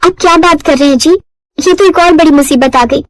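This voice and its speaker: A woman's voice: high voice, brisk, no room sound, natural voice. A woman voicing a caring, anxious young wife and mother in a cartoon moral story, earnest and concerned. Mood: alarmed.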